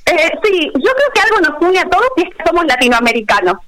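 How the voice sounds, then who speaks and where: A woman teacher with an Argentine accent, talking quickly with many hesitations on a video call. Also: extremely high pitch, sing-song, a bit bright, rough recording. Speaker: special education teacher. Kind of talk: answering questions on a video call panel.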